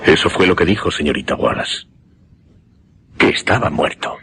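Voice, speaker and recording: An actor with an accent from Spain playing a shaken, courteous gentleman who hesitantly recounts a supernatural horror in a radio drama. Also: rumbling low, lively intonation, moderate pace, gravelly, over loud music, heavily acted. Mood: haunted, solemn.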